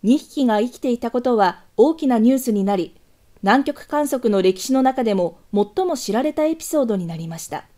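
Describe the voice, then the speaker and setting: A woman reading scripted documentary news narration in a measured, formal manner. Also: a little high, animated, mellow.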